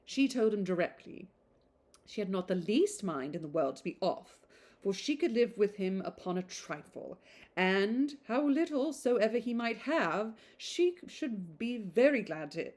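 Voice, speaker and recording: A woman's voice: medium pitch, lively intonation, a little slow, darkish tone. A woman voicing a gossipy, affected young woman from a period novel, chattering in exclamatory run-on sentences. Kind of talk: novel read aloud.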